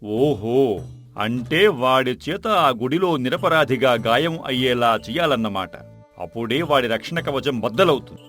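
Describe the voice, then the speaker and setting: A man's voice: medium pitch, lively intonation, measured pace, fairly bright tone, soft background music. A man acting a loyal, concerned companion of a king in a folk tale, respectful and earnest. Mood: concerned, keen.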